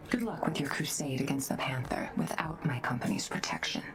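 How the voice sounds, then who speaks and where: An actress playing a cold, authoritative villainous scientist boss in a video game, cool and disdainful. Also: female voice, a bass voice, huge pitch swings, a little fast, creaky, whispered, exaggerated voice. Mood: cold, dismissive. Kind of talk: video game cutscene acting. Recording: loud music behind.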